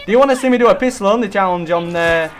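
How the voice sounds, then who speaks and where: A young man hosting a casual co-op zombie game session, excitable and chatty, bursting into loud hype over his weapon. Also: high-pitched, rapid-fire, slight background noise. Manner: excitable, hypes gun, swears mildly. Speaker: gaming channel host. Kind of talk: casual co-op gameplay chat.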